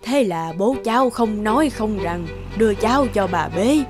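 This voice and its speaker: A woman voicing a gentle, devoted grandmother who tells a family tale in a measured, tender cartoon storytelling voice. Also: mid-range voice, expressive, over music, lightly acted. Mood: gentle, nostalgic. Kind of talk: animated storytelling.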